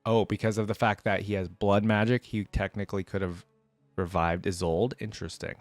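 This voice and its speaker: Low-voiced man, casual reaction commentary. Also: fairly even intonation, fairly quick, no echo. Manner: conversational, musing aloud. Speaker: reaction video host.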